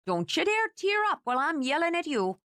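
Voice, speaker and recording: A woman's voice: medium pitch, very animated, moderate pace, no room sound, studio quality, voice pushed up, lightly acted. A woman voicing a stern, bossy older housekeeper who scolds and lectures out of fierce fondness. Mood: scolding.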